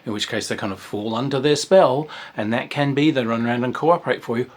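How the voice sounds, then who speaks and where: Man, husky voice, casual game review, rambling, sighs and whispered asides. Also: medium pitch, fairly quick.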